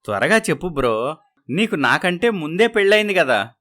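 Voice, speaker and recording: A man's voice: on the high side, sing-song, moderate pace, a bit bright, no room sound, studio quality. Man playing a cheerful, breezy office colleague. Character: cheerful, teasing male office colleague, new father.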